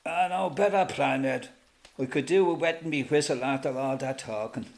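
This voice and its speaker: A man with an Irish accent playing an elderly former freedom fighter reminiscing in a radio play, plain-spoken and quietly proud. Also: medium pitch, moderate pace, gravelly, close to an everyday voice. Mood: genial. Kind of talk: radio play monologue, reminiscing as recorded testimony.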